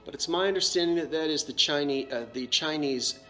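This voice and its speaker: A man's voice: on the high side, fairly quick, sharp tone, faint music behind. A man with a New Jersey accent, casually showing and describing antique dishes in a chatty, self-correcting way. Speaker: antiques seller. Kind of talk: casual show-and-tell vlog.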